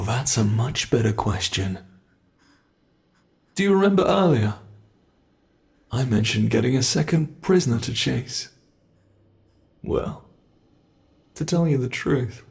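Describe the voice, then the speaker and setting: A British man playing a gravelly taunting monster. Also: medium pitch, darkish tone. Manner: slow, taunting, menacing. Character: cruel male monster hunting a captive. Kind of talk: ASMR horror roleplay.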